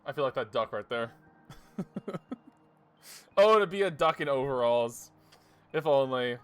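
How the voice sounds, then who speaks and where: Bright, animated male streamer. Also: mid-range voice, unhurried, clear, resonant tone, no echo, faint music behind. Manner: joking, animated reactions, laughs a lot. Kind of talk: casual commentary while gaming.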